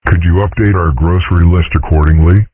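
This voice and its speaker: A man voicing an unflappable, mischievous dragon father in a comic dub, husky, blunt and nonchalant. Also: low voice, fairly even intonation, fairly quick. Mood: cheerfully casual. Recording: muffled narrow-band lo-fi audio.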